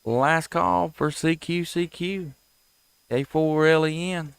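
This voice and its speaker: Unhurried man on ham radio. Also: fairly high pitch, steady tone, vocal fry, clean tone, dry recording. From United States. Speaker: amateur radio operator and live stream host. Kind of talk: casual ham radio chat and calling.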